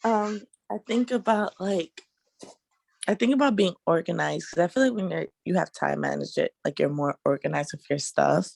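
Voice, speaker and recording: A woman's voice: lowish voice, normal speed, gravelly, darkish tone, dry recording. A young woman, a college student, talking casually on a video call with lots of likes. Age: young adult. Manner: casual, full of likes, laughs easily.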